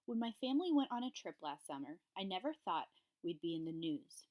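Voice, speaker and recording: A woman's voice: fairly low pitch, very animated, brisk, a little mellow. A woman, a primary school teacher reading a children's story aloud to her pupils. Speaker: third grade teacher.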